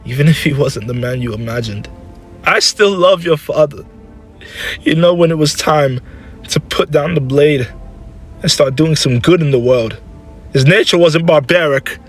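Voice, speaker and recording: A man's voice: mid-range voice, fairly even intonation, quick pace, hardly any pauses, husky, soft background music. A man voicing an anguished, scolding mother of a grown warrior in an emotional, scornful manner for a dramatic story. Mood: hurt.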